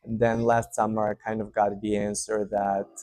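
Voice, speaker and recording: A man in his thirties from Serbia with a Serbian accent, hosting his channel and talking straight to camera in a relaxed, unscripted way with many fillers. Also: on the low side, moderate pace, dry recording. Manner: warm, hesitant, many fillers. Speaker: channel host. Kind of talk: casual unscripted update to camera. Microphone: speaking to camera.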